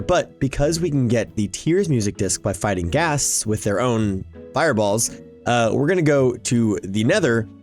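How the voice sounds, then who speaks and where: A man's voice: mid-range voice, darkish tone. A man giving casual, enthusiastic gameplay commentary, chatting and rambling as he hosts. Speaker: video game YouTuber, host. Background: background music.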